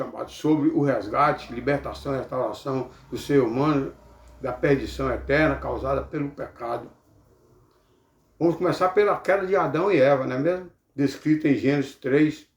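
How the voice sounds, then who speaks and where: A man's voice: on the high side, roomy sound. A man from Bahia with a Bahian Brazilian accent, teaching the Bible like a preacher, in a didactic way with rhetorical check-ins.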